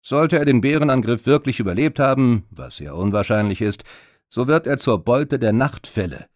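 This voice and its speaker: A man voicing a gruff, self-assured Viking-like warrior in an audiobook, speaking with weight. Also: medium pitch, expressive, normal speed, a little mellow, no room sound, clean studio sound, natural voice. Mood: knowing.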